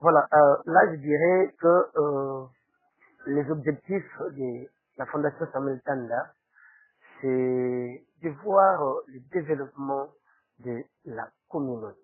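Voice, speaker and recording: A husky-voiced man with a Congolese accent answers as a spokesman. He speaks formally but hesitantly, often tagging his phrases with small questions. The recording is tinny and narrow, like a phone line. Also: mid-range voice, slow. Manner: hesitant, with frequent tag questions. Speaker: interview guest, foundation spokesman. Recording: roomy, tinny phone-like sound.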